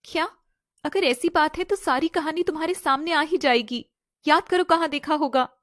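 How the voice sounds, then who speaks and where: A woman's voice: high-pitched, normal speed, fairly bright tone, no room sound, studio quality. A woman voicing a guarded, concerned young woman in an audio story, with a dramatic, questioning delivery. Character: guarded young woman confronting and comforting her childhood love. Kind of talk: Hindi audio story acting.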